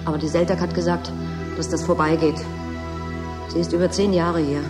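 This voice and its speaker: A woman's voice: fairly low pitch, steady tone, moderate pace, music in the background, lightly acted. An actress playing a bored, needy young woman at a desolate station in a German radio drama, restless and resigned.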